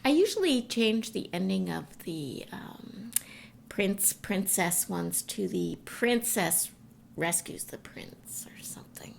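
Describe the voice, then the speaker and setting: A woman's voice: medium pitch, lively intonation, takes it slowly, creaky, whispered. A middle-aged woman, chatting casually with her partner as a podcast co-host. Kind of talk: casual chat between a couple. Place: at home in self-isolation.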